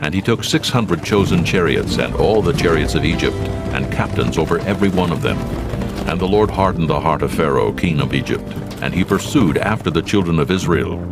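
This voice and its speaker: A man reads dramatized scripture aloud, slow and solemn. His voice sits extremely low with a gravelly rasp. Music plays clearly beneath him. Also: expressive. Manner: slow, solemn, measured delivery. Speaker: narrator. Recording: narration over music.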